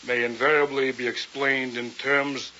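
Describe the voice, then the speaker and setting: An arrogant man of science in an old radio play, lecturing pompously and coldly. His medium-pitched voice has a bright, forceful edge. The recording is dull and faintly hissy. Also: flat delivery, measured pace. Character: arrogant, coldly rational male scientist and domineering husband. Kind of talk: vintage radio drama acting.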